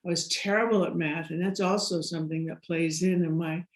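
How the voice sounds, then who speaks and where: A woman's voice: on the low side, steady tone, brisk. A middle-aged American woman, a podcast guest, talking casually and rambling with frequent laughs and restarts. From United States. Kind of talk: casual interview storytelling. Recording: roomy echo.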